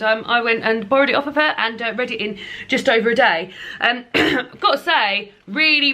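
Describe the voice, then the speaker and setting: Bright-voiced British woman chatting about books. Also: medium pitch. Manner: rambling, emphatic repetition of words. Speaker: book reviewer vlogger. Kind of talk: casual chat to viewers.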